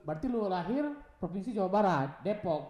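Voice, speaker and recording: Fast, lively teasing young man. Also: sits high, dull audio. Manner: teasing, mock-formal, swears often. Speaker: podcast co-host. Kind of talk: casual podcast chat. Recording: echoey room.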